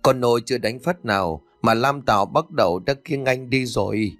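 A man's voice: mid-range voice, normal speed, a bit bright, no room sound, soft background music, natural voice. Man playing a wailing, melodramatic young crook sidekick. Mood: comic lamenting. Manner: lamenting in rhymed, exaggerated mock-mourning.

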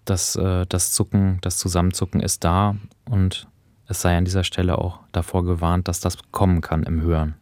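A man's voice: low voice, flat delivery, normal speed, darkish tone, no echo. A man hosting a cultural podcast, discussing reflectively and searching thoughtfully for his phrasing. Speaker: podcast host.

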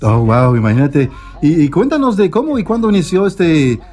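Eager male radio host, bit high-pitched, halting delivery with fillers. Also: very animated, mellow, soft background music. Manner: enthusiastic, hesitant, many fillers. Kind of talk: radio interview.

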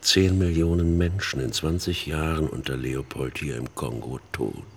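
An actor playing an embittered middle-aged man telling a haunted story in halting, emphatic phrases in a radio play. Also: a bass voice, steady tone, slight background noise. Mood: grim, sardonic. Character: embittered older man haunted by his missionary sister's death. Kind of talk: dramatic monologue in a German radio play.

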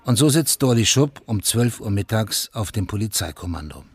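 A man's voice: lowish voice, animated, moderate pace, darkish tone, husky. A man narrating a scripted radio drama in a sober, factual manner, in short plain statements.

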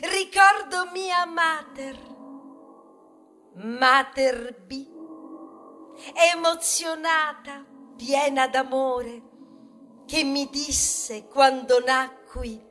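A woman's voice: high voice, drawn-out words, pauses often, pushed and bright, soft background music, exaggerated voice. A woman playing an earnest young grain creature with a great mission, in a solemn theatrical monologue. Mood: earnest, emotional.

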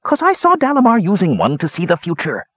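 A man's voice: high-pitched, huge pitch swings, a little fast, no room sound, dull audio, voice pushed up, full character voice. A man doing a swooping, grumpy old dwarf hermit. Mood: gruff. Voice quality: coarse, growling. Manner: folksy, rustic, ungrammatical speech. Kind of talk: fantasy audiobook character voice.

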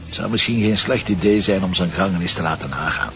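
A wry, charming Flemish commissioner. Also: male voice, deep voice, quick pace. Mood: easy banter. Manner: teasing, easygoing banter. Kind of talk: radio drama acting. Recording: dull lo-fi radio recording with music.

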